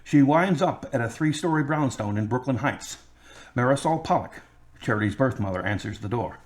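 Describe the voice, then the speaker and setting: A man's voice: medium pitch, lively intonation, moderate pace. A man reading scripted narration for an audio drama.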